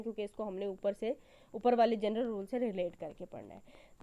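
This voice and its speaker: Female law lecturer, slightly high yet soft-toned voice, patient step-by-step explainer. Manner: didactic, repetitive step-by-step explaining with frequent check words. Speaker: law teacher. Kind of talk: explanatory lecture, reading legal text and explaining it.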